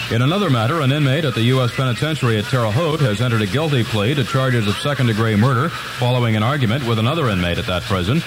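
An American man reading a scripted news report on the radio. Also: mid-range voice. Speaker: radio newsreader. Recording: noisy old radio broadcast tape.

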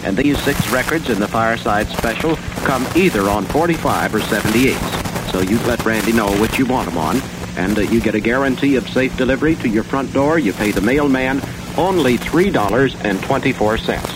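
An American man working as a radio DJ, pitching mail-order records in folksy, colloquial 1960s patter. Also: a little fast, over music. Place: radio studio. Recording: noisy 1960 AM radio aircheck.

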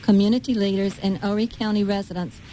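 A middle-aged woman making an earnest, measured scripted appeal as a political ad spokesperson. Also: medium pitch, fairly even intonation, warm tone, slight background noise, poor audio.

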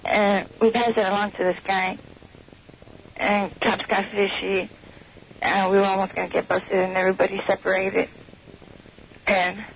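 A woman's voice: medium pitch, little pitch movement, normal speed, long pauses. A young woman calling a radio show, confessing in a hesitant, casual way. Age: young adult. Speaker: anonymous radio call-in guest. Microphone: telephone. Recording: dull noisy phone-in on aircheck tape.

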